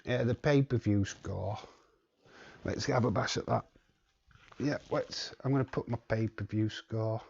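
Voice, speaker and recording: Man host, low husky voice, slow and rambling, live-stream chat feel. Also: darkish tone, highs cut off. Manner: rambling, reads chat aloud, chuckles often. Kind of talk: casual live watch-along chat.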